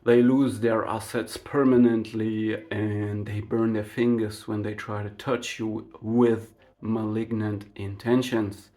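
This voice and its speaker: Slow, reflective man with a German first language. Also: on the low side, level tone, mellow. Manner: slow and reflective, with frequent fillers and rhetorical "right?". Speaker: spiritual vlogger. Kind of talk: unscripted spiritual monologue to camera.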